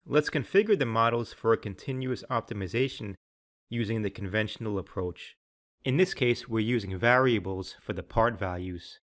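A man's voice: on the low side, moderate pace, a bit bright. Man narrating a scripted technical tutorial.

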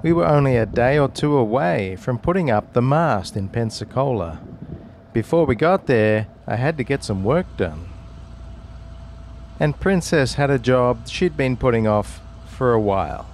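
A man in his forties talking casually to a camera outdoors, with a dry, deadpan sense of humour. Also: medium pitch, a little slow, a little hiss or hum. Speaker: vlogger on a sailing channel. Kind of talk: casual vlog chat. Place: outdoors, on a sailboat deck and around town.

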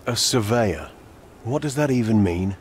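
An actor playing a gruff, cynical older police inspector in a video game, blunt, irritable and sardonic. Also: male voice, lowish voice, animated, a little slow, slight background noise. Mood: irritated, sardonic. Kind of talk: video game cutscene acting.

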